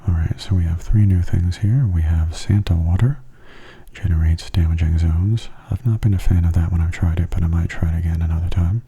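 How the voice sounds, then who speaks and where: Male ASMR gamer, very low and gravelly, soft-spoken, gentle, breathy, unhurried delivery. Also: fairly even intonation. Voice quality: soft, breathy, often half-whispered. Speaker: gamer giving gameplay commentary.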